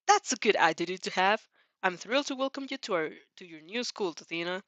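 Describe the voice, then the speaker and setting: A woman's voice: medium pitch, animated, brisk, a bit bright, no echo, lightly acted. A woman voices a kindly male principal in a fan audio drama. He is polite, welcoming and a little formal. The recording is dry. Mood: welcoming, pleased.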